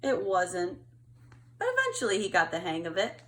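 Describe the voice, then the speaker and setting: A woman reading a picture book aloud to children in an expressive storytelling style. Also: lowish voice, moderate pace, a little hiss or hum. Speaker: storybook reader.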